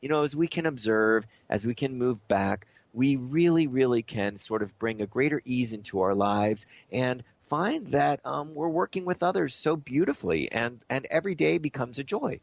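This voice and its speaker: Dull lo-fi sound, man, creaky voice, radio host, informal, earnest, reflective. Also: mid-range voice, expressive, normal speed, hardly any pauses, no room sound. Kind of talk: conversational spiritual talk show. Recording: dull lo-fi audio.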